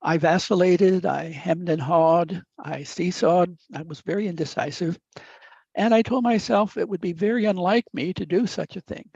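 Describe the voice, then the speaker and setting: A man's voice: high voice, vocal fry, a little mellow, slightly rough, dry recording. Older man, Italian native, Midwest-raised; reflective storyteller with frequent fillers; dull, dry recording. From upper Midwest United States. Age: 80s. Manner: measured, deliberate, with frequent uh fillers. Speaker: guest speaker.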